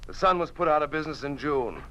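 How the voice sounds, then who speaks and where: A man's voice: a little high, animated, fast, sharp tone, highs cut off. A man acting in a 1950s radio drama as a cynical, hard-drinking newspaperman with a sardonic, theatrical, mocking delivery.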